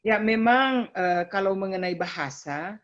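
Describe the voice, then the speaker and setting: A woman from North Sulawesi, explaining informally at a webinar, haltingly and with repeated words. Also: medium pitch, expressive, fairly bright tone. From Minahasa, North Sulawesi, Indonesia. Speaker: webinar speaker explaining local languages. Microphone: video call. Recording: dull, lo-fi online call audio.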